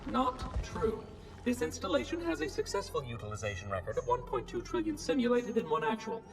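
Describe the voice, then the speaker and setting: Casting: chirpy pedantic machine for a game, swooping precise speech with robotic sheen, slightly off-mic. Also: male voice, sits high, huge pitch swings, brisk, heavily acted. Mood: indignant. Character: prim, officious floating robot monitor, fussy know-it-all. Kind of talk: video game cutscene acting. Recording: distant voice with music behind.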